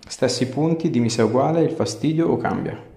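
Guy chiropractor talking a patient thru an exam, short questions, medium pitch, echoey little room. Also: fairly quick, vocal fry, dark tone. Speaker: chiropractor and video host. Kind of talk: clinical exam talk with patient. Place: small tiled clinic treatment room. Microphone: lapel clip-on.

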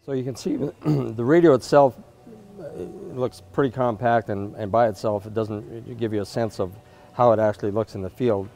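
A man's voice: medium pitch, moderate pace, gravelly, darkish tone. A man in his fifties demonstrating equipment in an unscripted, explanatory way with frequent hesitations. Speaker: product marketer demonstrating equipment. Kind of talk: unscripted product demonstration and interview answers. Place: indoor trade event interview spot.

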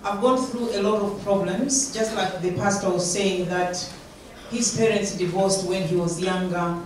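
A woman's voice: mid-range voice, steady tone, normal speed, darkish tone. A woman from Malawi telling her own story at a community panel, speaking steadily into a handheld mic. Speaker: community organization founder on a panel. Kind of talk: personal story at a panel talk. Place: large conference room. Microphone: handheld mic, heard from far. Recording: echoey room, distant, some noise.

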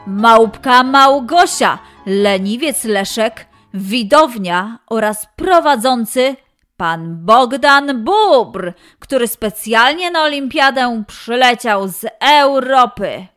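A woman narrating a children's story in an expressive style. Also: fairly high pitch, takes it slowly, soft background music.

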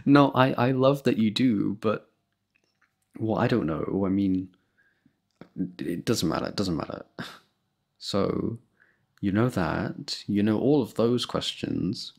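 A man's voice: on the low side, big pitch swings, takes it slowly, gravelly, long pauses. A young man in a boyfriend roleplay, talking to a girlfriend in a playful, laughing, affectionate way, close to the mic.

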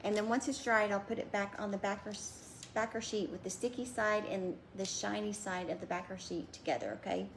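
A woman's voice: medium pitch, brisk, hardly any pauses, slight background noise. A woman in her thirties chatting her way through a home craft demonstration at a table, talking as she works. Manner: chatty, talks while working with her hands. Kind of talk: casual how-to craft demo.

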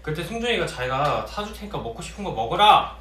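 A man's voice: fairly high pitch, quick pace. A young man, a member of an idol group, chatting and teasing his bandmates during a casual livestream meal. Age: young adult. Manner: playful, teasing, lively storytelling. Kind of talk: casual chat while eating. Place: small indoor office room, seated at a table. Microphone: fixed camera across the table. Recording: roomy, distant mic with faint hiss.

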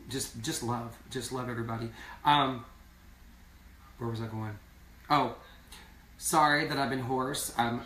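Hoarse man, thirties, chatty live-stream talk, roomy echo, heard from a distance. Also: mid-range voice, fairly bright tone, a little hiss or hum. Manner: chatty, rambling, reads viewer comments aloud. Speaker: singing duo member hosting a live stream. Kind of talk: casual live-stream chat. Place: small indoor room. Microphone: phone camera at a distance. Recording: echoey room, from a distance.

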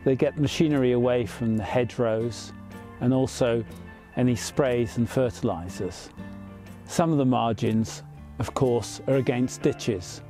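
50s farmer guy in a field, calm plain explaining, light music under it. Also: mid-range voice. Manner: measured, plain explanatory talk with small fillers. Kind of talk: explaining farm practices on camera. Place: open farm field outdoors.

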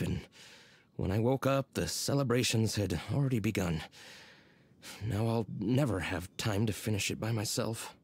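Sheepish drunkard husband. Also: male voice, on the low side, darkish tone. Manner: hesitant, lots of uhs. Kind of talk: video game voice acting.

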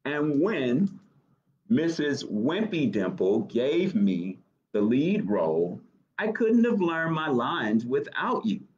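Man playing an earnest cat, lively lilting pitch. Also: slow. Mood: grateful. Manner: earnest, heartfelt reading-aloud delivery. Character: earnest, grateful young male cartoon cat. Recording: dull webcam audio.

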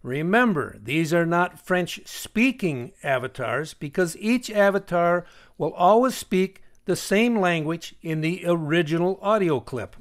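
Guy with a pretty high voice doing a screen tutorial, explains stuff carefully, stresses his points. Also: lively intonation, unhurried. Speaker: software tutorial host. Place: voice-over for a screen recording.

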